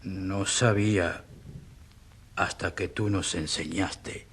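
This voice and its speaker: An actor playing a humble, unschooled peasant in debt, speaking simply and rustically in a radio drama. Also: male voice, deep voice, fairly even intonation, takes it slowly, a bit bright, a little hiss or hum, exaggerated voice. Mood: resigned, bitter.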